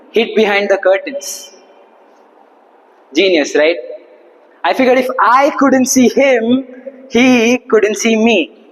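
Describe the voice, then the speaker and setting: A young man giving a humorous prepared speech to an audience, with a theatrical, rhetorical delivery and deliberate pauses. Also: high-pitched. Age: young adult. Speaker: club speaker at Toastmasters. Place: indoor hall, speaking to a seated audience. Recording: reverberant hall.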